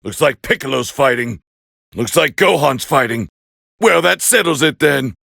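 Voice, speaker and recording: A man voicing a proud, scornful alien warrior as a fighting game commentator, gravelly and haughty in an English anime dub style. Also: mid-range voice, animated, moderate pace, no echo, studio quality, exaggerated voice. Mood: commanding, disdainful. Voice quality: gravelly, raspy. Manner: haughty, forceful, clipped exclamations.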